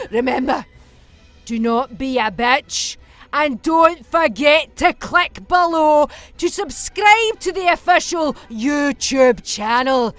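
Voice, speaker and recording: A woman's voice: high voice, sing-song, slow, fairly bright tone, soft background music, exaggerated voice. A woman playing a gleefully unhinged, vengeful Time Lord villainess, theatrical and flippant with turns of menace, in a scripted fan audio drama monologue. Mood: mischievous.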